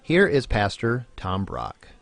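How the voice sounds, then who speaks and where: A man giving a measured, scripted program introduction as announcer. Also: mid-range voice, huge pitch swings, vocal fry, dry recording. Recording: music bed under voice.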